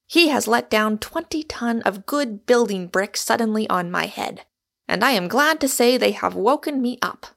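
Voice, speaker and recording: A woman's voice: on the high side, expressive, normal speed, gravelly, fairly bright tone. A woman voicing an exuberant, argumentative Irishman, a storybook suitor who declaims with great emphasis. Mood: ponderous, emphatic.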